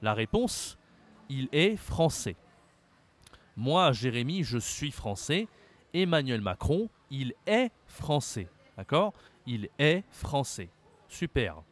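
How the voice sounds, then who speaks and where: A man's voice: medium pitch, big pitch swings, takes it slowly. A man from France teaching a French lesson, speaking patiently, repeating words and spelling them out letter by letter. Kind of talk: slow, carefully articulated language lesson.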